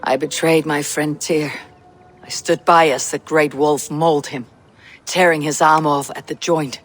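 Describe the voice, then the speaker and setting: An actress playing a stoic, battle-worn Viking warrior in a video game, telling a tale in a grave, measured way. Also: female voice, sits low, steady tone. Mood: stoic, troubled. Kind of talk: dramatic game dialogue, recounting a tale.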